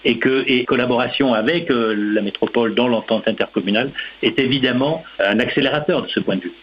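A man's voice: mid-range voice, a little fast. Dull-sounding man in a radio discussion. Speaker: interview guest. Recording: dull sound with faint background noise.

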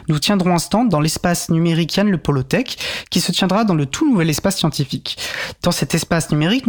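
A man hosting a radio show and reading out announcements. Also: on the high side.

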